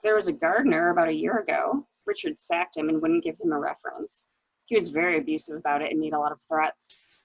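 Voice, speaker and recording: A woman's voice: fairly low pitch, very animated, fast talker, creaky, no echo, exaggerated voice. A woman in a dramatic mystery reading, playing an anxious, hesitant widow, on a dull home recording. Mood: tense, hesitant. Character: anxious, hesitant new widow recounting her husband's misdeeds. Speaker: library staff reader.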